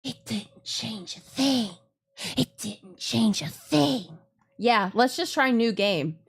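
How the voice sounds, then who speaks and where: Animated high-voiced woman streamer, slow-paced. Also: vocal fry, slightly rough. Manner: animated, exclamatory, repeats phrases. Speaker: streamer playing a visual novel. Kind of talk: live gameplay commentary, casual chat. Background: game music.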